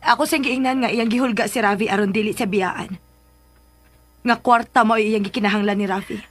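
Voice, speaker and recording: A woman's voice: mid-range voice, steady tone, a little fast, dry recording, close to an everyday voice. A woman playing a wounded, doubting young daughter, plaintive in a radio drama. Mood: hurt.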